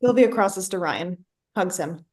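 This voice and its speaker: A woman's voice: fairly low pitch, expressive, measured pace, a little mellow. A woman reading stage directions as scripted narration for a play reading. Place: remote online staged reading.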